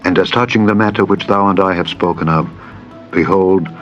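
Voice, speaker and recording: A man voicing a loyal, solemn prince and devoted friend in a dramatized scripture reading, with measured archaic oaths. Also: deep voice, steady tone, natural voice. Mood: earnest, instructing. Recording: dull lo-fi audio with music. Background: background music.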